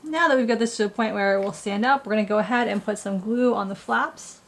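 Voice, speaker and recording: Gravelly-voiced woman teaching a paper craft. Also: mid-range voice, fast. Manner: plain, patient instructions. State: getting over a cold. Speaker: craft blogger. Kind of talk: step-by-step craft tutorial.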